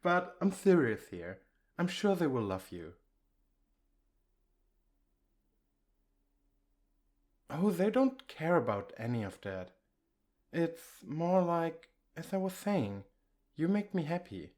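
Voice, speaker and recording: A man playing an affectionate, reassuring boyfriend in an intimate ASMR comfort roleplay, speaking in a gentle, coaxing, conversational way. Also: on the high side, big pitch swings, measured pace. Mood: encouraging.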